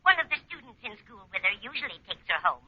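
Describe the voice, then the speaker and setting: Chirpy landlady, matter-of-fact, animated intonation, 1940s radio comedy, dull vintage recording. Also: a little high, quick pace, slightly rough, full character voice. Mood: chatty, helpful. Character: chatty, unflappable, helpful landlady.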